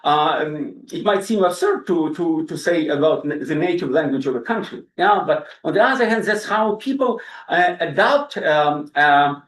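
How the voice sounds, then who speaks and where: Halting male lecturer, distant and roomy. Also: fairly high pitch, expressive, fairly bright tone. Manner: halting, with frequent fillers and repeated words. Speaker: guest lecturer. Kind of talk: academic lecture.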